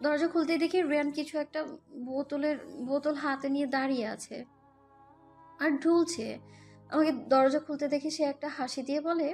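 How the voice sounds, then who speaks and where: A woman's voice: high-pitched, fairly even intonation, moderate pace, long pauses, darkish tone, close to an everyday voice. A woman playing a hurt, defiant young mother who narrates her own romantic audio story, with an emotional, scolding manner. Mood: uneasy narration. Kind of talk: first-person Bengali audio story narration and dialogue. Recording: music bed behind the voice.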